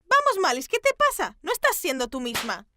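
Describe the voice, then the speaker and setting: Perky scatterbrained cartoon princess girl, high voice, really bouncy and chatty. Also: huge pitch swings, brisk, sharp tone, no echo, clean studio sound, soft background music, full character voice. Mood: coaxing, animated.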